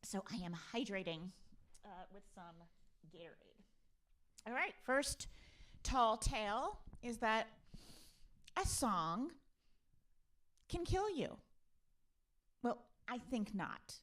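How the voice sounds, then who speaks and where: A woman's voice: fairly high pitch, big pitch swings, slow pace, long pauses, a bit bright. A woman hosting a casual live stream, chatting in a playful, conversational way. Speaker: live stream host and musician.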